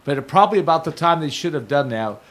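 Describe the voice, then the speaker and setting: An older man hosting a community radio show from a studio, talking casually in a rambling, digressive way while his voice is going hoarse. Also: a little high, lively intonation, fast, a bit bright, slight background noise. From Stockton, California. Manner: rambling, digressive, self-correcting. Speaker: radio host. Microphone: studio microphone.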